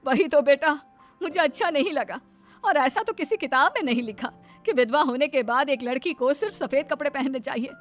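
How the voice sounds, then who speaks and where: A woman's voice: high-pitched, brisk, pitched above the performer's own voice, natural voice. A woman voicing a middle-aged Indian storybook mother-in-law, speaking in an emphatic, instructive way. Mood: motherly, earnest. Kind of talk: storybook character dialogue. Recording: background music under the voice.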